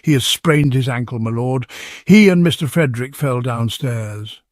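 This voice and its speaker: A man's voice: mid-range voice, animated, moderate pace, dry recording, clean studio sound, lightly acted. A synthetic male narrator voicing a portly, long-serving English butler, formal and deferential, in a comic storybook.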